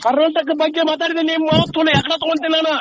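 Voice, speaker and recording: Very high-pitched angry North Karnataka man. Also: flat delivery, very fast, a bit bright. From Badami, North Karnataka, India. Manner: aggressive, abusive, swearing constantly. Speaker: prank call target. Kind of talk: angry phone argument. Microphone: phone call. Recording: noisy, muffled phone call recording.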